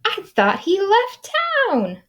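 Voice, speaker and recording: A woman voicing a timid, excitable crowd of small prairie dogs in a picture book, with exclamatory delivery that swoops from hushed to squealing. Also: very high-pitched, slow pace, pitched above the performer's own voice, full character voice. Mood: nervous.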